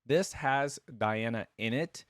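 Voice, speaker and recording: A man's voice: medium pitch, slow pace, dry recording, studio quality. A man hosting a reaction video with casual, informal commentary, rambling as he thinks aloud. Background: game music playing.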